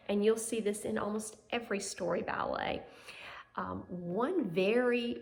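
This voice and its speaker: A woman's voice: medium pitch, vocal fry. Woman teaching dance in a very echoey room. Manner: encouraging, simple step-by-step explaining with short prompts. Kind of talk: instructional video lesson, demonstrating to pupils. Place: indoor room at home.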